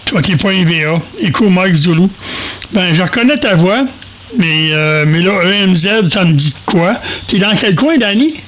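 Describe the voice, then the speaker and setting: An older man with a Quebec French accent, chatting casually over amateur radio in a halting, folksy, self-deprecating way, telling rambling anecdotes. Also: high voice, expressive, a bit bright, poor audio.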